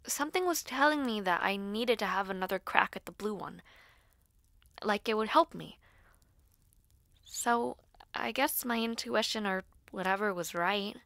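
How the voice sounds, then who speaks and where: Woman doing a nervous teen witchy girl, slow n hesitant, bright voice, talking right to you, audio roleplay. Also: a little high, dry recording. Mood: thoughtful, halting. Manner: hesitant, halting, soft-spoken asides. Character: earnest, nervous school-age girl dabbling in ritual spells. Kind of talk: intimate audio roleplay, acted to the listener.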